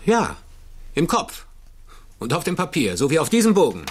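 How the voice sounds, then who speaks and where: An actor playing an earnest, stubborn idealist programmer with short, clipped replies in a 1960s German radio drama. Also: male voice, a little high, wildly swooping pitch, normal speed, slight background noise. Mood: earnest, defensive. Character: earnest nonconformist male programmer, stubborn idealist under suspicion.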